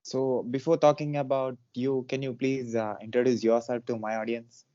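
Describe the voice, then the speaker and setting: Halting, polite male interviewer, dull dry sound. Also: medium pitch, flat delivery, mellow, dry recording. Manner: halting, polite, repeats words. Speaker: podcast interviewer. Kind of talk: interview questions.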